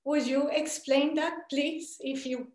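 High-voiced Colombian woman, earnest questioner. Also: steady tone, slow, a little mellow, echoey room. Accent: Colombian Spanish. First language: Spanish. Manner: earnest, hesitant, with many fillers. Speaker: participant asking a question. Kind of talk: asking a question in an online Q&A. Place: small home room. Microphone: video call laptop.

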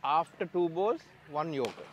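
A man with a Sri Lankan accent runs bowlers through drills in the outdoor nets, his voice sitting high and bright. He speaks in clipped, direct broken English, quick to laugh between instructions. A faint outdoor murmur sits underneath, picked up by a clip-on mic. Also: animated, slight background noise. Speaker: bowling coach. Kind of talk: coaching at net practice. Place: outdoor cricket nets at a training ground.

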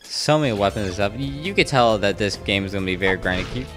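A young man hosting a let's-play, chatting in a rambling, casual way and talking back to the enemies on screen, keeping his naturally loud voice down at home. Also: on the low side, lively intonation, fast talker. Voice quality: loud voice held down to a murmur. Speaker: gaming channel host. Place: home room next to a neighbor's bedroom. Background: faint game music.

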